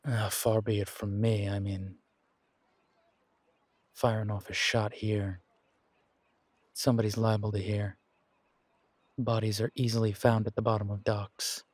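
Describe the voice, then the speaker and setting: Slow, husky, menacing pirate captain, acted by a man. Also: fairly low pitch, flat delivery, a little mellow, no room sound. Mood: wry menace. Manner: slow, taunting, deliberate drawl with dramatic pauses. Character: menacing, wry pirate captain, adult man, territorial and suspicious. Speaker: voice actor in audio roleplay. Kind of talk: scripted roleplay audio acting.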